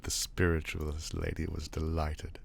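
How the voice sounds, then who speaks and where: A man reading a literary short story aloud as an expressive narrator. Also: rumbling low, normal speed, gravelly, no room sound. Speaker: story reader.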